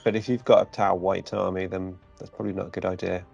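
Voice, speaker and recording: Man, gravelly voice, casual podcast host, friendly questions, faint music. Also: sits low, little pitch movement, quick pace, darkish tone, no echo, highs cut off.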